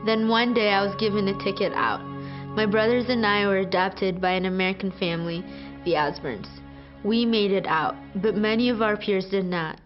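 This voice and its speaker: A teenage girl from Ethiopia, a student, narrating a scripted video in an earnest, measured way. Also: mid-range voice, fairly even intonation. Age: teens. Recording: muffled, over guitar music.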